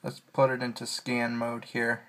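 A man giving casual, slow and halting commentary as he demonstrates a piece of equipment indoors. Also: mid-range voice, monotone, heavy background noise. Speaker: scanner owner narrating a demonstration. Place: indoors beside a radio scanner.

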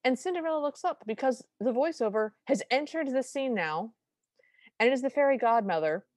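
A woman co-hosting a podcast, casually recapping a film with dry, deadpan humor. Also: high-pitched, brisk, no echo. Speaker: podcast co-host.

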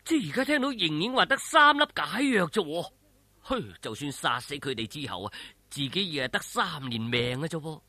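A man with a Hong Kong Cantonese accent telling a story dramatically, with animated, suspenseful delivery. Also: on the high side, moderate pace, dry recording.

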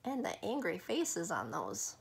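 A middle-aged woman reading a children's picture book aloud expressively, with dramatic pauses and casual asides to young listeners. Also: fairly low pitch, huge pitch swings, vocal fry, breathy edge.

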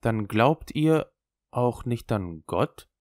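Man playing a shy young apprentice, quiet and halting, dry sound. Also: mid-range voice, expressive, no room sound, natural voice. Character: young male apprentice, earnest, hesitant.

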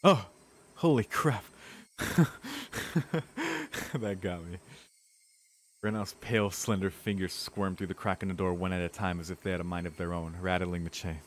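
A man's voice: low voice, sing-song, measured pace, long pauses. A man streaming a visual novel, reading the narration dramatically and breaking into casual, sweary reactions.

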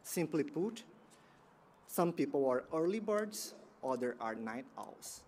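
A man, giving a prepared graduation keynote in a measured, earnest way from a podium outdoors. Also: on the high side, sing-song, darkish tone, slight background noise. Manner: measured, earnest delivery with pauses. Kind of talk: prepared commencement speech. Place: outdoor sports field ceremony. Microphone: podium microphone.

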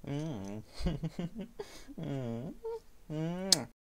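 A man's voice: fairly high pitch, expressive, extremely slow, slight background noise, full character voice. A man playing a young, playful, self-deprecating reporter boyfriend in an intimate roleplay audio drama, with a flustered, affectionate way of talking. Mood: teasing, affectionate.

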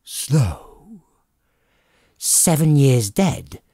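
A man's voice: mid-range voice, big pitch swings, very slow, gravelly, mellow, dry recording, clean studio sound, pitched above the performer's own voice, exaggerated voice. A man voicing a shaken, businesslike miser speaking deferentially and pleadingly to a ghost in an audiobook. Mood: questioning.

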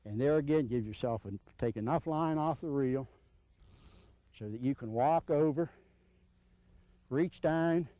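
A man's voice: mid-range voice, measured pace, pauses often, mellow, dry recording. A man in his fifties giving informal, hands-on instruction in a plainspoken, folksy way, repeating his points, on a dull old video recording. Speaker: surf fishing instructor. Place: outdoors on a sandy beach.

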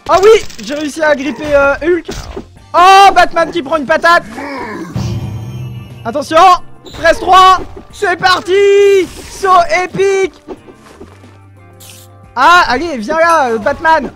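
A young man giving excited commentary over his own gameplay, exclaiming often and talking straight to his viewers, recorded on a desk mic with headphones on. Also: very high voice, takes it slowly, pauses often, soft background music. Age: young adult. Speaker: gaming video creator.

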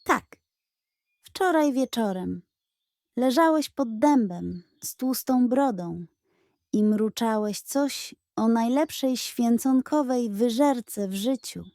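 Woman as a slow, blunt tattletale gander. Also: fairly high pitch, lively intonation, warm tone, no echo, clean studio sound. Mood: blunt accusing. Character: male gander, sleepy latecomer, blunt tattletale. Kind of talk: children's bedtime audio story.

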